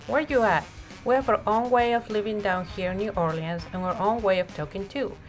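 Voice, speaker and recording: A woman's voice: medium pitch, fairly quick, poor audio. Woman reading a storybook over music. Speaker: read-aloud narrator. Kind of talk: expressive picture-book storytelling read-aloud. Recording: music playing behind the voice.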